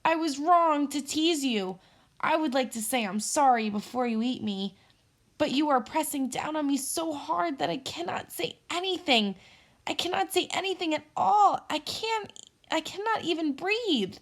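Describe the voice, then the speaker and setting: A woman voicing a small, cheeky, quick-witted male squirrel for a storybook, chirping in a singsong way. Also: sits high, animated, voice pushed up. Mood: pleading. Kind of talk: storybook character voice.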